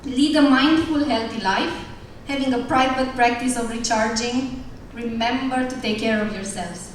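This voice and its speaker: A woman's voice: on the high side, normal speed. A Romanian woman teacher with a Romanian accent giving a direct, humorous graduation speech to her students. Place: large school hall. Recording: echoey hall from far off, some noise.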